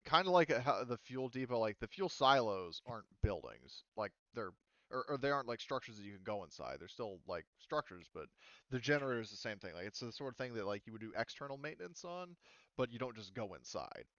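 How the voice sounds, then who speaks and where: A man acting as game master, casually explaining rules and narrating a tabletop game, rephrasing haltingly as he goes. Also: medium pitch, very animated, a bit bright, no echo, highs cut off.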